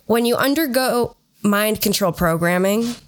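A woman's voice: medium pitch, unhurried. Sardonic woman podcaster, casual storytelling. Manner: sardonic, frequently swearing. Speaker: podcast host.

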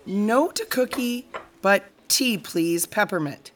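An opinionated young woman in a naturalistic audio drama. Her medium-pitched voice is casual and plainspoken, as if talking with a close friend. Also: expressive, slow pace, soft background music. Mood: friendly, dry.

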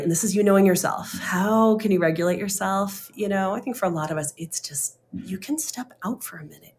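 A woman co-hosting a casual talk show over an internet call, animated and laughing often, with lots of "like" and "you know". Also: on the low side, a little hiss or hum.

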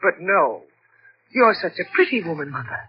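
Bitter, anguished daughter, muffled radio. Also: female voice, lowish voice, sing-song, normal speed, a little hiss or hum. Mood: bitter, accusing. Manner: intense, accusing, melodramatic. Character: bitter, anguished daughter, haunted and near breaking. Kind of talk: 1940s radio drama acting. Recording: dull old radio recording, faint music.

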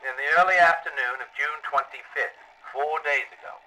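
An actor playing a no-nonsense American town sheriff of the 1910s in an audio drama, clipped and official. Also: male voice, on the high side, fairly quick, bright, forceful tone, a little hiss or hum, rough recording. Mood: puzzled, matter-of-fact. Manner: clipped, official, matter-of-fact. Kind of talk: period audio drama acting.

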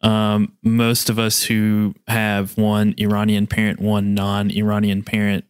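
A man's voice: on the low side, completely flat delivery, a little slow, clean tone, dry recording. An American man from rural Kentucky, speaking as the host of an interview podcast. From rural Kentucky, USA. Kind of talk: podcast interview with scripted introduction.

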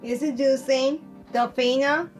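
Slow, higher-voiced woman hosting a podcast. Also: steady tone, faint music behind. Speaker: podcast host. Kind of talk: scripted hosting.

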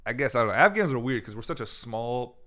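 A man's voice: mid-range voice, big pitch swings, fast talker, bright, forceful tone. A young adult man, a comedian from the Bay Area whose first language was Farsi, chatting casually on a podcast with slangy, rambling stories. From San Francisco Bay Area. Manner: laid-back slangy storytelling, lots of "like". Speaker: comedian guest.

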